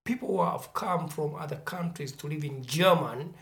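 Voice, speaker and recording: A speaker from Uganda, talking in a reflective, measured way in a podcast conversation. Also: mid-range voice, vocal fry.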